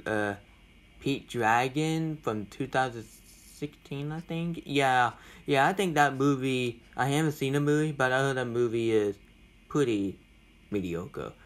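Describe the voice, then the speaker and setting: A young man records an informal movie review. He complains bluntly, often stopping and starting and repeating his points as he goes. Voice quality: self-described deep voice. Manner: halting, repetitive, blunt complaining.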